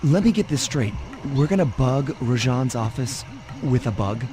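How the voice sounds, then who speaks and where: An actor playing a cool, wisecracking young thief in a video game, with a breezy, wry, casual delivery. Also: male voice, mid-range voice, animated, moderate pace, natural voice. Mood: casual, amused. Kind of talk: video game cutscene acting.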